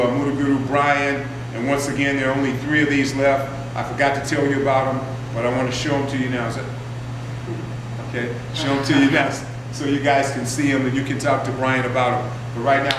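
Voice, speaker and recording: A man's voice: medium pitch, brisk. Older man teaching, echoey distant room. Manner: relaxed, rambling, warmly introducing. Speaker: martial arts teacher. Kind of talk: workshop talk to students. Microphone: far from the mic. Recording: echoey room from far off, hum.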